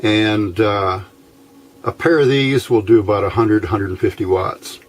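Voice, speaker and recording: A man giving a casual, unscripted technical explanation as a hobbyist presenter, haltingly, thinking aloud as he goes. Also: lowish voice. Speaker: amateur radio hobbyist presenting a how-to video.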